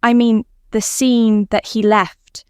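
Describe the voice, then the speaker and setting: A woman's voice: fairly high pitch, little pitch movement, slow, clean tone, dry recording, clean studio sound. A woman voicing a grave, reluctant military man in a story reading. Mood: grave, troubled.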